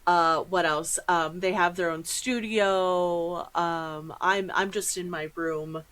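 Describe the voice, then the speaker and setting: A woman's voice: lowish voice, fairly even intonation, takes it slowly, fairly bright tone. A woman hosting a casual podcast interview in an effusive, informal, self-deprecating way, speaking into her own microphone in a room at home. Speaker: podcast host.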